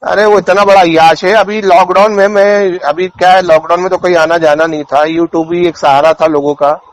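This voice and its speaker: High-pitched, scornful cleric. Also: male voice, steady tone, moderate pace, low-quality recording. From India. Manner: scornful, indignant, insulting, with rhetorical questions. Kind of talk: polemical religious talk, off the cuff.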